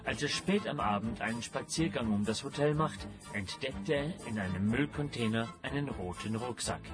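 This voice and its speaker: A man announces a radio serial in scripted narration. His medium-pitched voice sits over clearly audible music. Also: moderate pace.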